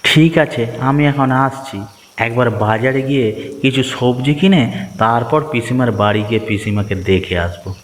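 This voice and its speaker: A man's voice: medium pitch, moderate pace, big-room sound, slight background noise, lightly acted. A man voicing a hard-up, hesitant and hospitable village husband in a cartoon. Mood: concerned. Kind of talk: Bengali cartoon voice acting.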